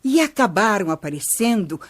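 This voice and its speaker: An actress playing a kindly older Brazilian grandmother who teaches through stories in a children's radio drama, measured and expressive. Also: medium pitch. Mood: patient, instructive. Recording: music bed under voice.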